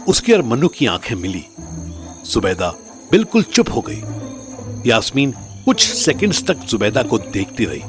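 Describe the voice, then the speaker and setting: A man's voice: mid-range voice, very animated, measured pace, soft background music. A man narrating a scripted story in a dramatic storytelling style.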